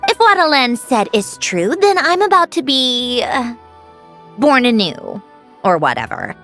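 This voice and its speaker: A woman playing a proud, prickly sentient machine girl in a video game, curt and impatient but secretly soft. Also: fairly high pitch, big pitch swings, unhurried, soft background music. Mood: resigned, dry. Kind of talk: scripted anime-style game acting.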